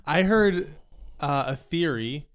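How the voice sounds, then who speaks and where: A man's voice: fairly high pitch, animated, slow. A man co-hosting a casual podcast, musing loosely through half-remembered theories and tossing in jokes. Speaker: podcast co-host. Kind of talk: casual chat.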